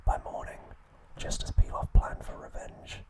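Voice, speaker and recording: Man whispering softly in ASMR style. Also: moderate pace. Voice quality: soft unvoiced whisper. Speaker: ASMR host. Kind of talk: whispered ASMR narration of a story recap.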